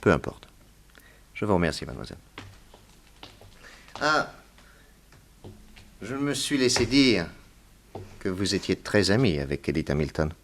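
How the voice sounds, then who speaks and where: Male detective, low voice, commanding, formal, probing, theatrical radio drama. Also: lively intonation, measured pace, pauses often, heavily acted. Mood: courteous, suspicious. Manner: commanding, formal, insistent questioning. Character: authoritative male American detective, brisk, probing and courteous.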